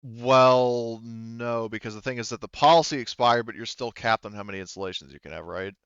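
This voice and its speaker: A man's voice: mid-range voice, fairly quick, creaky, a bit bright, clear, resonant tone, no room sound, muffled recording. A man running a tabletop game as game master, explaining rules in an informal, conversational way.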